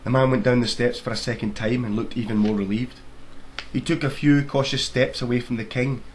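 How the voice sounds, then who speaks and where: A man's voice: medium pitch, steady tone, fairly quick. A man reading his own fairy tale aloud as scripted storybook narration. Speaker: author reading own book. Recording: faint background noise.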